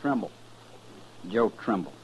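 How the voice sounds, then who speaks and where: An actor playing a defiant, evasive young drifter in a 1950s radio western, curt and defensive. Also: male voice, on the low side, lively intonation, unhurried, gravelly. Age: young adult. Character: defiant, evasive drifter of twenty-five, arrested suspect. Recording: muffled, noisy 1950s radio recording.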